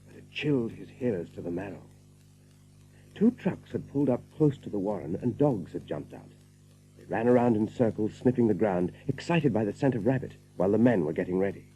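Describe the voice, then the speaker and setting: A man's voice: medium pitch, warm tone, slightly rough, lo-fi sound. A man narrating a story as scripted, dramatic storytelling for an audio drama.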